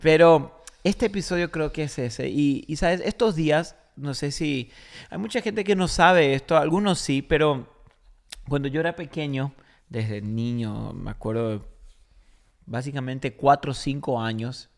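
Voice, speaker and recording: A man's voice: on the high side, unhurried, gravelly. A man with a Paraguayan Spanish accent, a podcast host and pastor from Paraguay, talking casually and telling stories with emphatic repetition. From Paraguay, born in Argentina. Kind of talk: casual conversational religious podcast talk.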